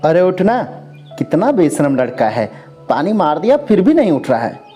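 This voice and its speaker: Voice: mid-range voice, sing-song, moderate pace, warm tone, soft background music, natural voice. A storyteller voicing a scolding, exasperated middle-aged mother with a nagging, bossy manner.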